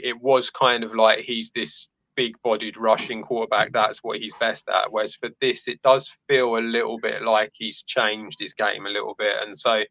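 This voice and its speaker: A man's voice: lowish voice, bright, forceful tone, no echo, dull audio. A man talking through sports analysis casually as a podcast panelist.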